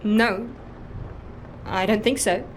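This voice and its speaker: A woman playing an eager, quirky middle-aged islander in an audio drama, hesitant yet excitable, with deadpan humour. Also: medium pitch, takes it slowly, a little hiss or hum, natural voice, rough recording. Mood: hesitant, curious. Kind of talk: audio fiction drama acting.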